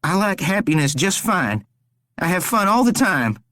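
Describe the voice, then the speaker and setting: A man's voice: very animated, normal speed, sharp tone, no echo, exaggerated voice. A man putting on a terrified pleading captive. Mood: desperate. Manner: high strained desperate pleading. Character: terrified bound young man pleading for life.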